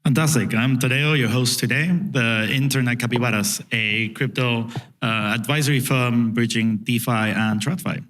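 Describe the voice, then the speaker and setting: A man's voice: medium pitch, fairly even intonation, normal speed, a bit bright. Upbeat young-sounding panel host man. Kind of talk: moderating a conference panel.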